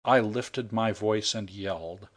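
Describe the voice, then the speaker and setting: A man narrating a humorous short story in the first person, wry and even. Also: fairly low pitch, slow. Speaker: audiobook narrator. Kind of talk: scripted narration.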